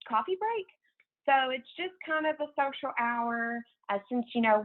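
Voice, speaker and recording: Tinny phone-line American woman, higher-pitched. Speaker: program coordinator leading a staff call. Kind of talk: informal spoken announcements. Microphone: telephone conference call.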